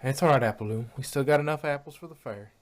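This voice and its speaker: A man's voice: mid-range voice, fast talker, voice pushed up, natural voice. A man voicing an earnest, guilt-ridden female farm pony in a fan fiction reading. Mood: reassuring. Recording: noisy, distant-sounding home recording.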